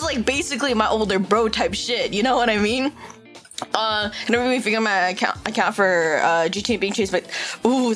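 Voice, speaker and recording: A woman VTuber chatting casually on a livestream, bubbly, laughing often and swearing casually. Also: on the high side. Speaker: VTuber streamer.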